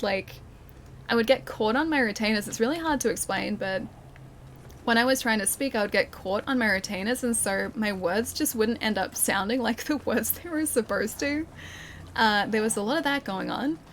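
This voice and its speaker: A woman's voice: fairly high pitch, slight background noise. A young woman streaming a video game, chatting casually with her viewers, laughing often and restarting her sentences. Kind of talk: casual gameplay commentary, chatting with live chat.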